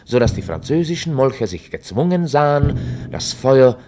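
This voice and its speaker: A man's voice: medium pitch, big pitch swings, music in the background, close to an everyday voice. Male actor as chronicler for radio play: mid-range voice, formal, articulate storytelling of history. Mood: measured, dry. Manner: measured, documentary, formal. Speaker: narrator. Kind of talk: scripted radio drama narration.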